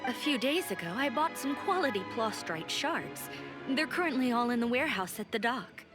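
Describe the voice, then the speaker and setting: A woman's voice: fairly high pitch, animated, moderate pace, natural voice. A woman voicing a polite, businesslike lantern artisan in an anime game, speaking with composure. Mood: composed. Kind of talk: anime game dialogue acting. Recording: game music over the voice.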